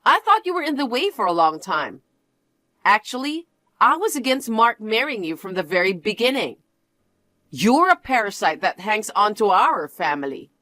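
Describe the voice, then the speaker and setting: A woman's voice: medium pitch, big pitch swings, normal speed, pushed and bright, dry recording. A woman voicing a haughty, domineering mother-in-law who taunts her son's wife. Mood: venomous. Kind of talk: one-voice drama reading.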